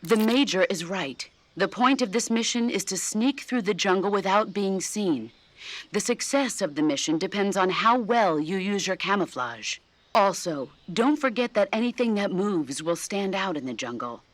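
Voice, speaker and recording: An actress playing a composed veteran soldier in a video game, a firm, supportive mentor who instructs in a measured, assured way. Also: female voice, medium pitch, animated, no room sound, close to an everyday voice. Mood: instructive, firm. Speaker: combat mentor.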